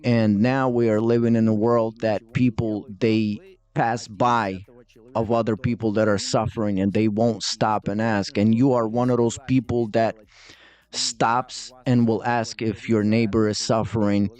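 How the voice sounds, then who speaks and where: Earnest podcast host. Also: male voice, lowish voice, little pitch movement, a little slow, a little mellow, clear, resonant tone. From Western Ukraine. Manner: earnest, heartfelt, speaks in sweeping moral statements. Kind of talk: conversational interview.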